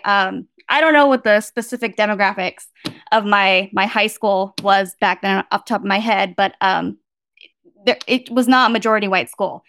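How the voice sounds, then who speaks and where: A woman's voice: mid-range voice, a bit bright, no room sound, studio quality. An American woman from the Bay Area, speaking frankly and matter-of-factly as a guest academic in a conversational interview. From San Francisco Bay Area, United States. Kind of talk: candid conversational interview answer.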